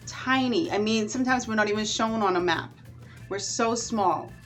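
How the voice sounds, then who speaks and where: A woman from the Bahamas with a Bahamian accent, chatting to camera on her vlog in a lively, animated way. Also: mid-range voice, moderate pace, faint music behind. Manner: chatty and animated, with warm asides. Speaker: vlogger. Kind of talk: casual chat to camera.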